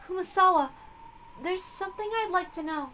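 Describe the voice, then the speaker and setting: A woman voicing a feeble, innocent young witch who speaks softly and haltingly in an earnest, sad way. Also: extremely high pitch, a little hiss or hum, pitched above the performer's own voice, poor audio. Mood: timid, questioning.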